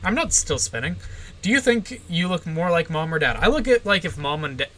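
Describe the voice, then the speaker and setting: A young woman with a general American accent, streaming live and reading viewer questions aloud. She gives short, deadpan answers with plenty of "uh" and "like". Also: fairly low pitch, a little fast. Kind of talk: casual livestream Q&A, answering chat.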